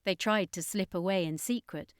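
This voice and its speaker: A woman's voice: fairly low pitch, normal speed, clean tone, no echo, studio quality. A woman reading a scripted adventure story as an audiobook narrator.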